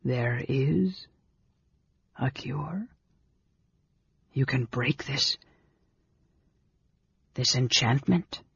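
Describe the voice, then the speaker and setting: A woman voicing a weary, dying male mage in a storybook, intense and terse, with a low, hoarse, breathy whisper. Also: unhurried, hardly any pauses, lo-fi sound, a little hiss or hum, exaggerated voice. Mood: hoarse, intent.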